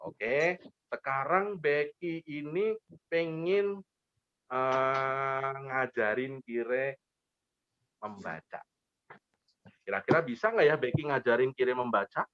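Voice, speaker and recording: A man's voice: mid-range voice, lively intonation, slow, pauses often, no room sound, muffled recording. A man telling an interactive children's story, playfully coaxing and talking straight to little kids. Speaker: volunteer parent storyteller for kindergarteners.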